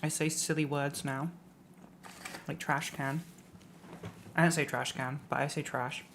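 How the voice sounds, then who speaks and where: A woman's voice: low voice, steady tone, unhurried, vocal fry, slight background noise. A young English woman streaming, chatting casually and rambling with lots of fillers. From England. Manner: rambling, lots of likes and ums, playful rants. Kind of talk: casual livestream chat.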